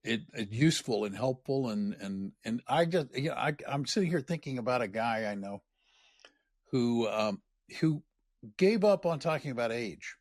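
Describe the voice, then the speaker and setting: A man co-hosting a podcast, telling stories in an animated way with big jumps in pitch. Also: normal speed, no echo, studio quality. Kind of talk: casual interview chat.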